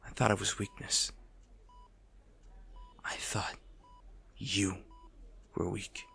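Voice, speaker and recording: A man playing a brooding supervillain bitterly attached to his rival, in a hushed, theatrical audio roleplay monologue with deliberate pauses. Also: deep voice, level tone, gravelly, husky, whispered, heavily acted. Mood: bitter, conflicted. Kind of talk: dramatic audio roleplay monologue, addressed to the listener.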